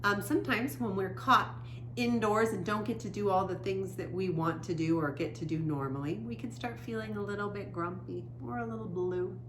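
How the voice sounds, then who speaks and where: A woman hosting a storytime, reading a picture book aloud to children in an expressive storytelling style. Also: mid-range voice, moderate pace. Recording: echoey room, faint background noise.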